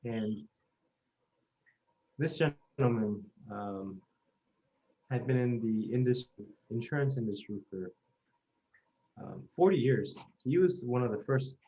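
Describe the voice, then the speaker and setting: A man's voice: mid-range voice, mellow. Earnest man in his thirties, muffled. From South Korea. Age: 30s. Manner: earnest, measured storytelling. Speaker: oral history interviewee. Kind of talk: reflective oral history interview. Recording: muffled lo-fi recording.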